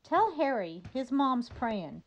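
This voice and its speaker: Woman acting proud sailor's mom, radio drama, high-pitched, very animated, folksy, garrulous, slightly muffled audio. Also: slow pace, slight background noise, heavily acted. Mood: eager. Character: chatty proud mother of a Navy sailor, folksy.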